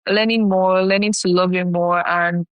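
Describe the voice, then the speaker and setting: A young Nigerian woman with a Nigerian accent, co-hosting a podcast, talking haltingly and hedging as she searches for words. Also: on the low side, fairly even intonation, clear, resonant tone, no echo. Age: young adult. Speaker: podcast co-host. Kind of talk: casual chat.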